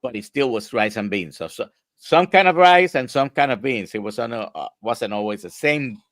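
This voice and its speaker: A man's voice: medium pitch, lively intonation, normal speed, fairly bright tone, no echo, studio quality. A male doctor from Puerto Rico, explaining diet to an interviewer in an emphatic, conversational way with rhetorical questions.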